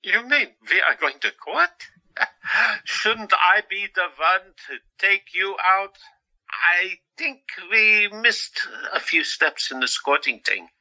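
An actor playing a playful, wryly melancholy male asylum patient in an audio drama, teasing with halting pauses. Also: high-pitched, sharp tone, heavily acted, poor audio.

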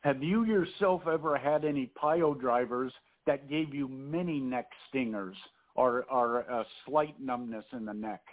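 A man's voice: mid-range voice, unhurried. A man co-hosting a podcast, interviewing a guest in a stiff, formal way with long questions. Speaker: podcast co-host. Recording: tinny phone line.

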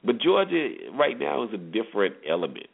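A man's voice: mid-range voice, sing-song, a little fast, gravelly, breathy edge. A man hosting a solo sports talk show, giving conversational commentary with rhetorical questions and repeated points for emphasis. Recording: tinny phone-line internet radio audio.

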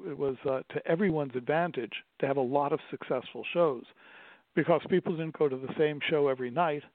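A middle-aged man, a theater owner speaking as a radio interview guest, pausing often with fillers and restarting his sentences. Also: a little high, no echo. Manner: halting, many fillers and restarts. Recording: dry, tinny phone-like line.

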